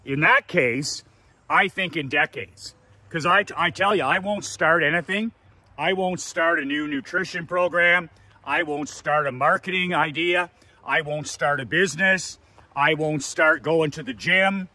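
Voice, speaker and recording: A man's voice: a little high, unhurried, pushed and bright, no echo. A man in his fifties giving a blunt, profane motivational talk as a business coach, hammering his points with repeated lists. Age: 50s. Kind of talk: motivational monologue.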